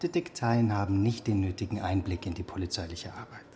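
A man's voice: low voice, brisk, warm tone, a little hiss or hum, close to an everyday voice. An actor playing a dismissive, officious male chief police inspector in a radio drama, lecturing and condescending.

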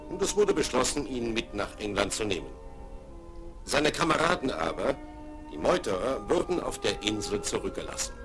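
A man narrating an adventure radio play in scripted storytelling style. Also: on the low side. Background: music.

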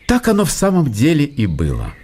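A man narrating a literary radio drama in an expressive, wry storytelling manner. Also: on the high side, brisk, slight background noise.

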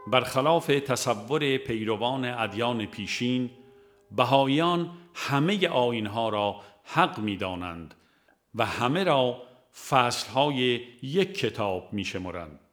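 A man narrates a religious audiobook in a measured, formal reading manner. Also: medium pitch, animated, fairly bright tone. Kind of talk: scripted narration of a religious book.

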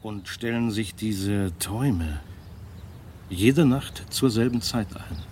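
An actor playing a grave, authoritative yet soothing family doctor in a German radio drama, measured and probing. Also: male voice, fairly low pitch, a little mellow, slight background noise.

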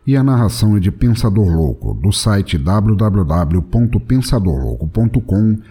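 A man's voice: on the low side, sing-song, moderate pace, husky. A Brazilian man delivering dramatic scripted narration for an audio drama. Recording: narration over loud background music.

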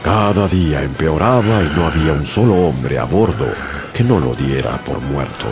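A man narrating as a sailor in a scripted radio drama, in measured storytelling. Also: very deep voice, expressive, creaky, heavily acted. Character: young sailor narrating his voyage.

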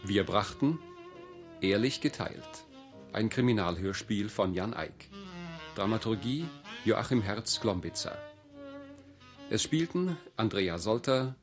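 A man reading scripted radio credits as an announcer. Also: lowish voice. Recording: music behind the voice.

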